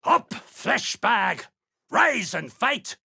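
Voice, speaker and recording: Menacing dwarf soldier, gravelly, slow, blunt, rustic phrasing, fantasy game villain. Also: male voice, high-pitched, very animated, pushed and bright, full character voice. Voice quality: gruff, raspy. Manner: blunt, threatening, folksy rustic turns of speech. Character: menacing, gruff Duergar slave hunter, rough soldier. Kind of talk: video game character dialogue.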